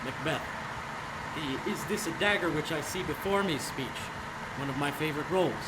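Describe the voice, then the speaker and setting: A man's voice: a little high, moderate pace, very noisy, close to an everyday voice. A man playing an anxious, demanding stage actor riding as a passenger in a live radio play. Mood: impatient. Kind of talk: live radio theatre acting.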